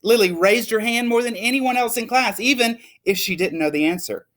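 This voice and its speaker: A man who works as a teacher, reading a children's story aloud in an expressive, emphatic way. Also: very high-pitched, moderate pace. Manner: animated, emphatic.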